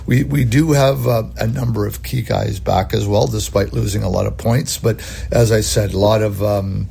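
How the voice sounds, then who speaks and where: A man, a hockey head coach, answering interview questions in a halting way with many fillers and restarts. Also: on the low side, fairly even intonation, creaky, warm tone, breathy edge.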